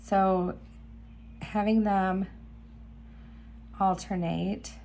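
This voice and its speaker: A woman hosting a beading video, chatting enthusiastically while she works, her voice a little hoarse. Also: medium pitch, fairly even intonation, extremely slow, warm tone, slight background noise. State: slightly hoarse, clearing throat. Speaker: beading channel host. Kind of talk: casual crafting tutorial. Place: indoor craft table. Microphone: camera above table, hands only.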